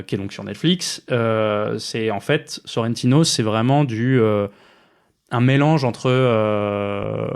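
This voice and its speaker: Podcast co-host, male, mid-pitched, hesitant delivery with frequent restarts. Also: a little mellow. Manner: hesitant, many fillers and restarts. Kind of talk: casual film podcast chat.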